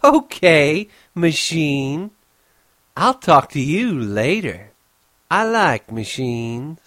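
Cold flirty ranch wife, higher pitched, dry room, guy doing the voice. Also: sing-song, drawn-out words, fairly bright tone, dry recording, full character voice. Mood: teasing. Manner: taunting, cold.

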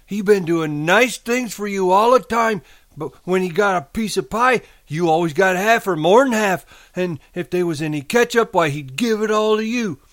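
A man voicing a sharp, foul-mouthed old country aunt from a novel, nagging in rural American dialect. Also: sits high, lively intonation, normal speed, voice pushed up. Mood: reproachful.

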